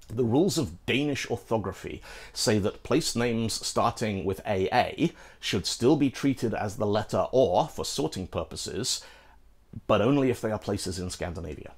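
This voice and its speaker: A middle-aged British man hosting a scripted programming lesson, lively and playful, with asides to the viewer, filmed in a studio. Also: lowish voice. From Britain. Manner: animated, gesturing, playful asides. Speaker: programming video host. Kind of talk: scripted tech explainer. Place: studio in front of a green screen.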